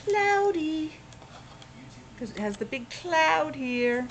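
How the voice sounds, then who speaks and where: Woman teacher with very animated, swooping intonation. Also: high-pitched, slow pace, slight background noise. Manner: playful, exclamatory, asking questions and sounding out words. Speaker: preschool teacher. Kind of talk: interactive lesson for young children.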